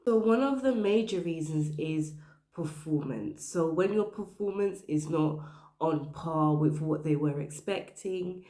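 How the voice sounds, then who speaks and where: A woman with a very low voice, made croaky by the flu, gives career advice straight to the camera. She talks earnestly and leans on her points with little checks like "okay?". The room around her adds a noticeable echo. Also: lively intonation, a little slow, mellow. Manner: earnest, emphatic, stressing points with "okay?" and "you know". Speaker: career advice channel host. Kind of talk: direct-to-camera advice talk.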